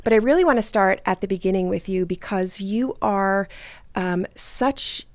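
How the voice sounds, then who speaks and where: A woman's voice: mid-range voice, normal speed, dry recording. A woman hosting an interview podcast, introducing and questioning her guest, often stammering and repeating words. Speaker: podcast host.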